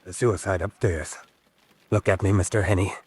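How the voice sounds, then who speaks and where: A desperate man in hiding in a vintage radio thriller. His voice is low and rough-edged, and he talks in terse, urgent bursts. The recording is dry. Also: steady tone, moderate pace, darkish tone, dry recording. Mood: tense, insistent. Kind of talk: old-fashioned radio drama acting.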